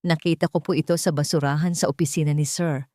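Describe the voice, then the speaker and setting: A woman with a Filipino accent voicing a loyal, protective household nanny in a drama story, soft, hushed and earnest. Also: low voice, steady tone, fast talker, no echo, clean studio sound, close to an everyday voice. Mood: grave confiding.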